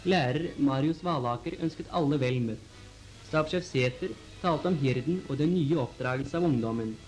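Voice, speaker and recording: A man reading scripted 1940s newsreel narration in a formal, measured announcer style on an old film soundtrack. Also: medium pitch, darkish tone, soft background music, low-quality recording. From Norway.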